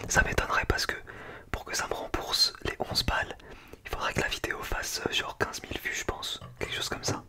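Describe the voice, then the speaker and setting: Man whispering casual ASMR. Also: moderate pace. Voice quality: breathy unvoiced whisper. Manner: casual, slangy, chatty. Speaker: ASMR food-tasting video creator.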